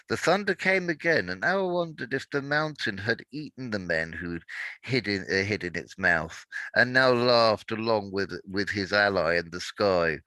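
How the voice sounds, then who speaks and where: A man reading a dark adventure story aloud in dramatic narration, now and then stumbling over words and correcting himself. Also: fairly low pitch, no echo, poor audio.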